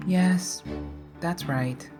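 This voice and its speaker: A woman acting an earnest, hesitant animal police officer testifying in a courtroom audio drama. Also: on the low side.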